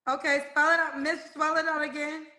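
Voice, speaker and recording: A woman's voice: high voice, flat delivery, a little fast, sharp tone. A woman hosting a call-in dating show over video, blunt and teasing in casual chat.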